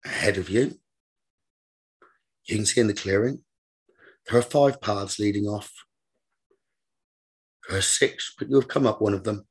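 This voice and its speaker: A man leading a guided meditation as a teacher, giving gentle, repetitive instructions in a slow manner. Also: fairly low pitch, breathy edge.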